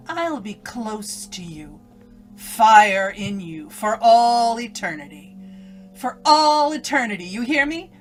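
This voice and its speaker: A woman's voice: a little high, expressive, slow pace, soft background music. A woman playing an evil, taunting alter ego, a voice inside a condemned girl's head, in old-time radio drama style. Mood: vengeful fury. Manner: menacing, taunting, gloating.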